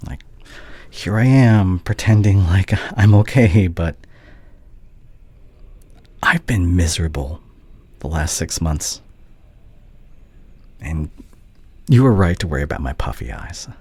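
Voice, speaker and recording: A man playing a devoted, regretful doctor and anxious ex-boyfriend in an intimate ASMR audio roleplay, speaking haltingly and tenderly. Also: low-pitched, warm tone, breathy edge, dry recording, exaggerated voice. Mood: anguished, confessional.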